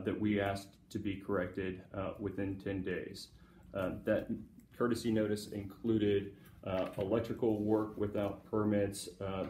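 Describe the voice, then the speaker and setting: Male city official, low monotone, formal and halting testimony, roomy sound. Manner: procedural, halting with many pauses. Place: indoor public hearing room. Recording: echoey room, faint background noise.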